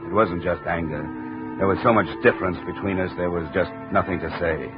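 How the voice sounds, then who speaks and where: A hard-boiled insurance investigator narrates his case in short, clipped lines, his voice low and deep, as an actor plays him. It sounds muffled and dull like an old radio broadcast, with music running clearly behind him. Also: steady tone, a little fast, close to an everyday voice. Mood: grim. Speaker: lead and narrator. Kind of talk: 1950 radio drama acting.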